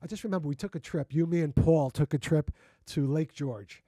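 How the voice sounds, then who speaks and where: A man in his sixties hosts a relaxed interview, his voice sitting a touch high but with a dark, mellow color. He talks effusively about shared memories and often stops and restarts mid-sentence. The sound is close and dry. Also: a little fast, no echo. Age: 60s. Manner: effusive and reminiscing, with frequent restarts. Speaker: host. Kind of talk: casual interview conversation.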